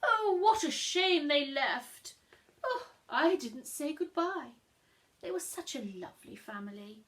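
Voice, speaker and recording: A gushing, weepy neighbour from a picture book, played by a woman reader. The delivery is theatrical and slow, with a high voice that swoops about in sentiment. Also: animated, a bit bright, exaggerated voice. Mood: sorrowful gushing. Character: gushing, sentimental neighbour, tearful pirate fan.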